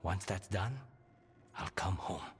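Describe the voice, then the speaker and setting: An actor playing a grim, guarded assassin in a video game, terse, restrained and weary. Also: male voice, low voice, slow pace, big-room sound, a little hiss or hum. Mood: grim, resolute. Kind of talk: video game cutscene acting.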